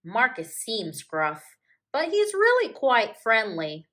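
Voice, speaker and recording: Woman voicing a kindly, slow-talking storybook hippo. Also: a little high, wildly swooping pitch, sharp tone, full character voice. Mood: reassuring. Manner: reassuring, encouraging. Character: friendly, caring hippo, a kindly male guide. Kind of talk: children's picture book read-aloud.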